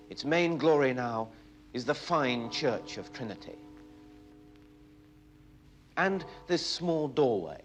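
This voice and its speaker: English newsreel reporter with clipped diction. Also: male voice, mid-range voice. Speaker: television reporter. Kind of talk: scripted documentary narration and on-location interviewing. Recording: archive 1960s newsreel sound.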